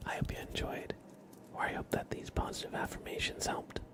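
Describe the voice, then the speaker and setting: A man hosting an ASMR livestream, talking in a gentle, reassuring, soothing way. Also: a little fast. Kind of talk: whispered ASMR, soothing affirmations and chat to viewers.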